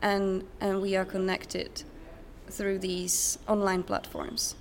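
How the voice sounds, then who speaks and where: A young woman speaks as an interview guest. Her voice is medium in pitch, and she phrases things thoughtfully, pausing and searching for words. A faint noise from a conference venue sits in the background. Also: monotone. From Czech Republic. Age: young adult. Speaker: interview guest, recent graduate. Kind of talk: conversational interview answers. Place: conference center. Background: ambient noise, distant sound check.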